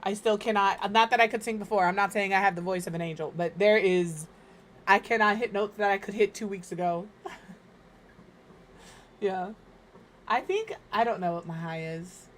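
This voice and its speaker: A woman from Minnesota streaming from home, chatting casually and rambling, her voice slightly hoarse and crackly as it recovers. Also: medium pitch, moderate pace, pauses often. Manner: rambling, chatty, self-deprecating. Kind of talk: casual chat while gaming.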